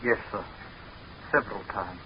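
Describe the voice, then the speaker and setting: An actor playing an earnest young doctor of science, formal, clipped and guarded, in 1940s radio drama style. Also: male voice, on the low side, moderate pace, close to an everyday voice. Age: young adult. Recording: muffled, hissy 1940s radio recording.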